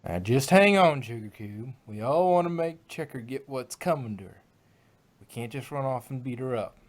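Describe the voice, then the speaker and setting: A man's voice: mid-range voice, animated, moderate pace, close to an everyday voice. Man acting a folksy, feisty country farm pony. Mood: firm. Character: plain-spoken country farm mare, feisty but sensible. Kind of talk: fan fiction read-aloud.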